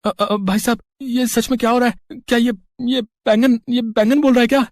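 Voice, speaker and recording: An actor voicing a poor, hungry young village man who startles easily in an animated moral story. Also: mid-range voice, moderate pace, dry recording, studio quality, natural voice. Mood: alarmed. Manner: stammering when flustered.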